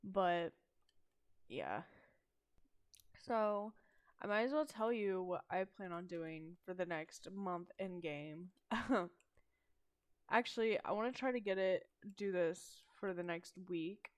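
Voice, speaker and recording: A young woman chatting about game updates on her channel, speaking in a self-described monotone with frequent ums and trailing asides. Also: fairly low pitch, takes it slowly, long pauses. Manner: monotone, hesitant with many ums. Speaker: gaming channel host. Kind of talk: casual game news chat.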